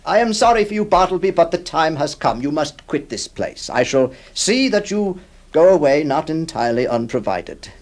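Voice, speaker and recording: A man's voice: a little high, big pitch swings, normal speed. A man voicing an exasperated lawyer who pleads and stammers with his stubborn clerk in an audio drama. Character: exasperated middle-aged male lawyer employer.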